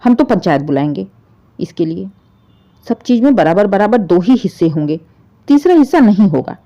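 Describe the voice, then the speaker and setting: A woman's voice: mid-range voice, very animated, normal speed, mellow. A woman voicing a greedy daughter-in-law in a moral story, indignant and argumentative, with pointed questions. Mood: scornful, demanding. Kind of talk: dramatised Hindi moral story. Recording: dull, muffled lo-fi audio.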